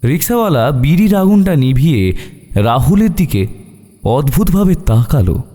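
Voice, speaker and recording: A man's voice: fairly high pitch, sing-song, normal speed, mellow. A man narrating a scripted horror story with suspenseful, dramatic phrasing. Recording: long reverb over eerie music.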